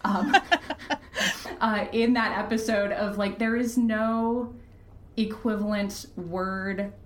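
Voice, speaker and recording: A woman's voice: medium pitch, fairly even intonation, takes it slowly, fairly bright tone. A woman talking candidly as an interview guest, earnest and emphatic in how she explains things. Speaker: podcast creator, interview guest. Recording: roomy, echoey sound.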